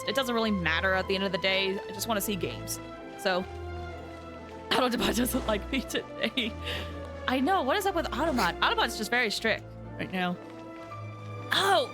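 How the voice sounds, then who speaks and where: A woman chatting casually and animatedly on a game livestream, rambling as she talks to viewers. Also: a little high.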